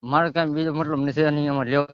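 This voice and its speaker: A man's voice: a little high, steady tone, fast, smooth. A man in his thirties with a Saurashtra Gujarati accent, calling on the phone and arguing in a defensive, insistent way. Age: 30s. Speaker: caller. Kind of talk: argumentative phone conversation. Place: phone call. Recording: muffled phone call recording.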